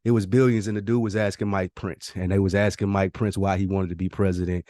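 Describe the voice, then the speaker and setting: A man's voice: low voice, level tone, fast talker, mellow, no echo, studio quality. An American man hosting a podcast in casual, slangy chat, telling stories and acting out what people said. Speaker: podcast host.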